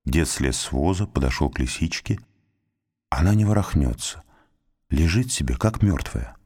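A man reading a folk tale aloud for small children in an expressive, animated way. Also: rumbling low, moderate pace, slightly rough. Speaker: storyteller.

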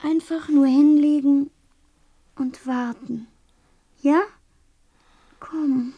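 Radio drama: high-voiced young woman, serene and exhausted, hushed, extremely slow delivery. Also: fairly even intonation, highs cut off, heavily acted. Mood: dreamy, resigned. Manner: hushed, trailing, repetitive. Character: dreamy, exhausted young woman slowly transforming, serenely resigned. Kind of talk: German radio play acting.

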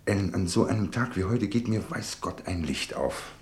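An actor in a German radio play voicing an anxious, tender middle-aged father, speaking in a halting, earnest, pensive way. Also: deep voice, animated, a little mellow, roomy sound. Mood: pensive, regretful.

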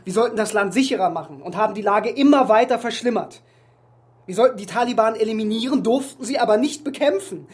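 An actor playing a bitter, defiant soldier in a radio drama, speaking with indignant, sardonic force. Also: male voice, sits high, quick pace, lightly acted.

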